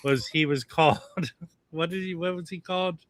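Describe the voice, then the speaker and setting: A man co-hosting a casual livestream chat, halting and insistent, often restarting. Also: a little high, a bit bright. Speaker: livestream co-host.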